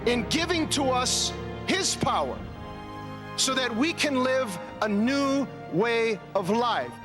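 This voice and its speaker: A man preaching with deliberate passion, with music playing behind his voice. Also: very high voice, lively intonation. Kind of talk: slow impassioned preaching.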